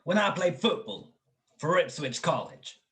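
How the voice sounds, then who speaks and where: A man acts out a dopey young football hero in an old-time radio mystery. He is impulsive and blustering, with a bright, high, very animated voice that leaps around as he gets worked up. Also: unhurried, exaggerated voice. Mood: sheepish, boastful. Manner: excitable, blustering. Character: dopey young male ex-college football player, impulsive, lovesick. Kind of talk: 1940s radio comedy-mystery acting.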